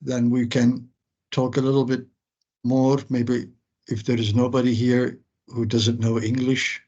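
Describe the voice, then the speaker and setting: A man moderating questions after an academic seminar on a video call, polite and deliberate. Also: medium pitch, level tone, dark tone. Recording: muffled video call audio.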